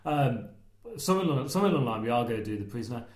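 A man co-hosting a casual film podcast, enthusiastic and rambling, a little hoarse as he is losing his voice. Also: medium pitch, animated, rapid-fire, darkish tone, reverberant. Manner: enthusiastic, rambling, many restarts. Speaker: podcast co-host.

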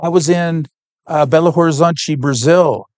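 A man from Canada, a podcast guest giving an earnest, heartfelt faith testimony and pausing to search for words. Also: a little high, darkish tone, no room sound.

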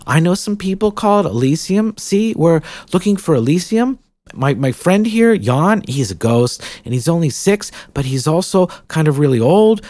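Man doing a jittery young witch girl, kinda high, um-ing and like-ing all over. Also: animated, moderate pace, dark tone, dry recording, natural voice. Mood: nervous. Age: young adult. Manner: hesitant, rambling with fillers. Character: young nervous witch girl, rambling and unsure.

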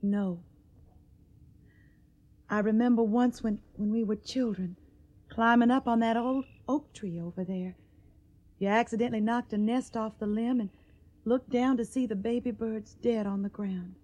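Young Southern farm woman, radio drama, earnest, tender, unhurried, fairly high-pitched. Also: dark tone. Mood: pleading, reproachful.

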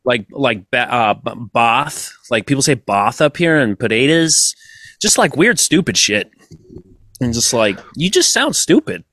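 A man's voice: mid-range voice, big pitch swings, measured pace, fairly bright tone, no room sound. A man from Massachusetts co-hosting a podcast, chatting loosely and swearing freely. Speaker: podcast co-host. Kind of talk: casual podcast chat.

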